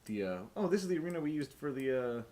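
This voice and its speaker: A man's voice: fairly high pitch, big pitch swings, fast talker, a crowd in the background. A man casually running a tabletop role-playing game as game master, joking and swearing freely. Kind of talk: casual, joking live-streamed game narration. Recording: clear background noise, keyboard and mouse clicks.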